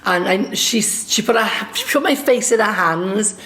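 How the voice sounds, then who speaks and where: Woman, Welsh accent, lively storyteller, mid-pitched voice, chatty anecdote. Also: unhurried, slight background noise. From Swansea, Wales. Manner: lively anecdotal, acts out quoted dialogue. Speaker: singer and songwriter. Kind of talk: personal storytelling.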